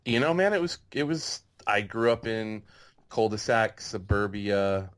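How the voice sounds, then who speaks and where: A man in his thirties from California hosting a casual podcast interview over a video call, talking in a relaxed, rambling way with many fillers. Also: on the low side, unhurried. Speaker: podcast host.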